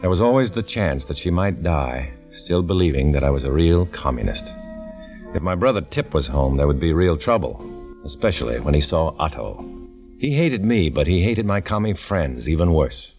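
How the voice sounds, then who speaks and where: A man's voice: rumbling low, sing-song, moderate pace, darkish tone, exaggerated voice. An actor narrating as a tense undercover man posing as a communist, in hard-boiled 1950s radio drama style, on an old broadcast with music behind. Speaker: narrator and lead.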